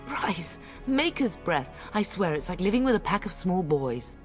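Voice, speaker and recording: Casting: mature castle lady and mother, slightly low register, fantasy game drama over music. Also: sing-song, moderate pace. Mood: exasperated. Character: devout, exasperated noble mother, middle-aged. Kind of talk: video game voice acting.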